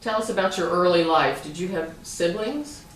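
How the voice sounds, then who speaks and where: A woman conducting an oral history interview, gently prompting and often rephrasing her questions. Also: lowish voice, normal speed. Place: room at a community center. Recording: echoey room, distant mic, faint hiss.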